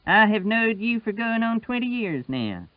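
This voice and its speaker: High-pitched old Southern rustic. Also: male voice, very animated, no room sound, narrow, tinny audio. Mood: dogged, quiet protest. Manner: rustic dialect speech, patient and slow.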